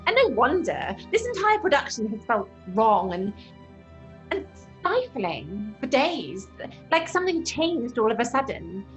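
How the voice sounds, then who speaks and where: A woman's voice: fairly high pitch, very animated, unhurried, soft background music. A woman playing a soothing, fussy theatre actress in an audio horror drama, theatrical and placating. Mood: uneasy, sympathetic.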